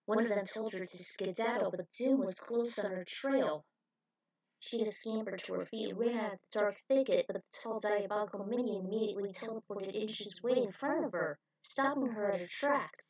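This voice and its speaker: A woman's voice: mid-range voice, moderate pace, a little mellow, husky, no echo. A woman narrating a scripted story as a fan fiction reader. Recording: dull, distant microphone.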